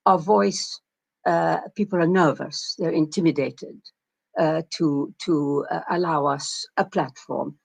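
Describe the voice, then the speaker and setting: An older woman from Palestine giving a measured, reflective conference keynote, pausing often with fillers as she speaks. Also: low-pitched, steady tone, gravelly, breathy edge.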